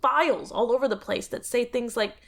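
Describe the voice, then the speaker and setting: A woman's voice: fairly high pitch, normal speed, creaky. A woman voicing a bored young male alien office clerk who whines and mocks his useless job, in an audio drama. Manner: sarcastic, whiny, restless.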